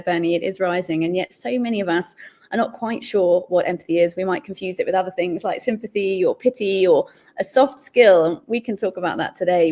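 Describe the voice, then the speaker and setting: A woman guest on a podcast, speaking over a remote call, explaining her ideas clearly with lively emphasis. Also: mid-range voice. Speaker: guest, author. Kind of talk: podcast interview. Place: Malaysia.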